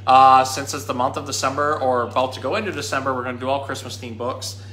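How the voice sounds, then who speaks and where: Bright-voiced man in an echoey room. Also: mid-range voice, fairly even intonation, fast talker, a little hiss or hum. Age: 30s. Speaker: zookeeper, presenter. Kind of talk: casual educational talk and storybook reading. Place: small indoor room at a pet store.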